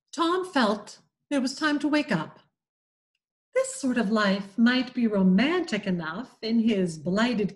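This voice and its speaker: Woman, New England accent, unhurried, fairly high voice, expressive read-aloud. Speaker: community reader. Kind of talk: reading a novel aloud.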